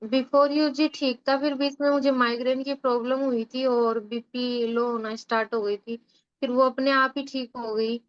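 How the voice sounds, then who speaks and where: A woman in her twenties answers a doctor's questions politely, a bit hesitantly, and lists her symptoms one by one. Her voice is high and smooth. The call audio is dry and muffled. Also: little pitch movement, moderate pace, dry recording. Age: 20s. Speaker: patient, recent Ayurveda graduate. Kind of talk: casual consultation answers. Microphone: video call.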